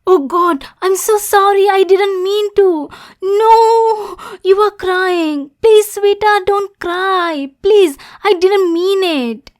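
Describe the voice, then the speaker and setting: Woman doing a clingy yandere demon girl for asmr roleplay, high voice, nervous n pleading, talks real slow. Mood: panicked, tearful. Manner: stammering, pleading, coaxing endearments.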